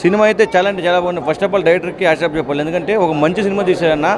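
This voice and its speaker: A man's voice: sits high, steady tone, very fast, a crowd in the background. A man giving a casual public review to camera, returning to the same approving phrases again and again. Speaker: moviegoer giving a film review.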